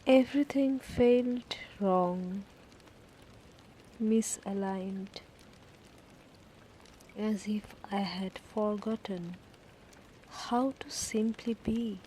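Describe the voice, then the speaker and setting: A woman narrating a guided sleep meditation story in short, deliberate phrases with long pauses between them. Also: medium pitch, dark tone, slight background noise, poor audio. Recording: rain ambience behind the voice. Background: falling rain.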